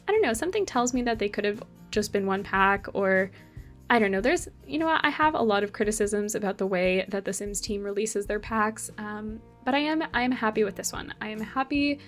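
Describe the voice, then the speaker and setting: A woman's voice: mid-range voice, lively intonation, brisk, fairly bright tone, soft background music. A young woman from Argentina, casually talking through a game build as channel host, rambling with many fillers and self-corrections. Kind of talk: casual build commentary over gameplay.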